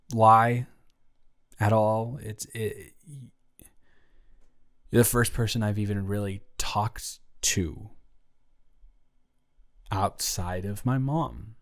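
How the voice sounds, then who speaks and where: A young man playing a shy, nervous, sweetly awkward college student in an intimate audio roleplay, speaking in a halting, stammering, rambling way. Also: fairly low pitch, vocal fry. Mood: vulnerable, tender. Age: young adult. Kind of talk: intimate one-sided audio roleplay acting.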